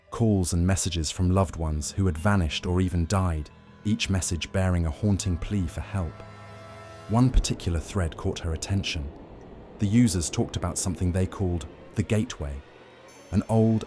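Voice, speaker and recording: Male horror narrator, very low and mellow, slow ominous read. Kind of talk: scripted horror story narration. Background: faint music.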